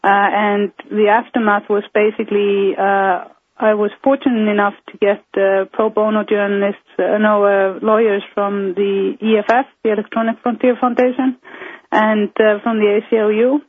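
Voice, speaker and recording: A woman speaks as a phone-in guest, pausing often to search for her words. Her voice is slightly husky and mid-pitched, and it comes through a dry, tinny phone line. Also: flat delivery, dry recording. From Iceland. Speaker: guest, member of parliament. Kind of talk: phone-in interview.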